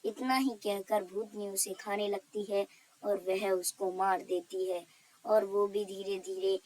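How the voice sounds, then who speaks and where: A woman's voice: medium pitch, level tone, slow pace, a little mellow, a little hiss or hum. A woman storyteller narrating a cartoon tale.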